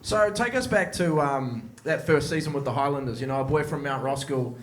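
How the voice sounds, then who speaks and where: A man's voice: mid-range voice, fairly quick, reverberant. A man, a podcast host who asks eager, rambling questions full of restarts. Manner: enthusiastic, stumbling restarts. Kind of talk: casual podcast interviewing.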